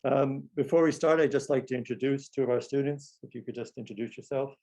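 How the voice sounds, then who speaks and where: A man, a school counsellor, speaking calmly and in a measured way during a webinar over a video call. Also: mid-range voice, rough recording. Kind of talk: webinar presentation.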